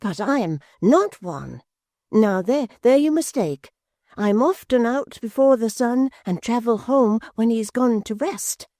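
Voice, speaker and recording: Woman doing a wide-eyed simple young man, eager, high and pleading, dry close sound. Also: animated, measured pace, dark tone, no echo. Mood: dreamy pleading.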